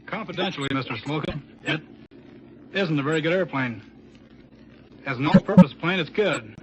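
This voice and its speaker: A young American man, a military test pilot, answering a 1940s radio interviewer in a deliberate, deadpan way. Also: on the high side. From Chicago, United States. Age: young adult. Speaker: Air Force test pilot, captain. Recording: muffled, noisy 1940s radio broadcast.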